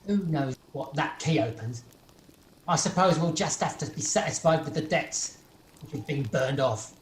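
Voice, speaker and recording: A man's voice: fairly high pitch, animated, normal speed, natural voice. A man playing an uncouth, smug, scheming thief in an amateur radio play. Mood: resigned, sly. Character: uncouth, unkempt, scheming thieving husband. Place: at home, recorded over video call. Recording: echoey room, faint noise, video call.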